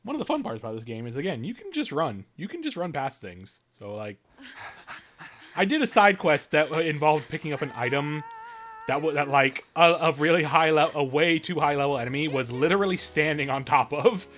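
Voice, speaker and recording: A man's voice: on the high side, expressive, brisk, fairly bright tone. A man giving casual live stream game commentary, rambling and often rephrasing mid-thought, with game music behind him.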